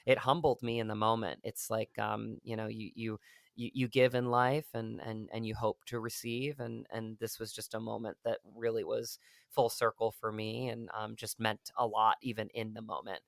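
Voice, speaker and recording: Earnest gravelly man, flat rambling delivery. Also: on the low side, normal speed, dry recording, clean studio sound. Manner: earnest, rambling with many fillers and restarts. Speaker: actor guest. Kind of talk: casual interview conversation.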